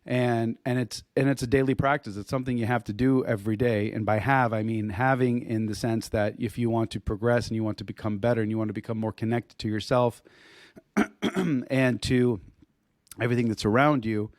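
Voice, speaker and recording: Hoarse man rambling on a podcast. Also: mid-range voice, fairly even intonation, fairly quick, no room sound, clean studio sound. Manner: rambling, frequent restarts and throat clearing. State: hoarse, losing his voice. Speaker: podcast co-host. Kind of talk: casual podcast chat.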